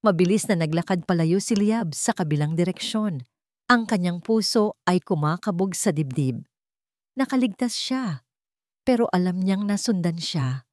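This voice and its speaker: Woman, Filipino accent, lowish pitch, scripted dramatic storytelling, dry studio-like sound. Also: a little mellow, no echo. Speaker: story narrator.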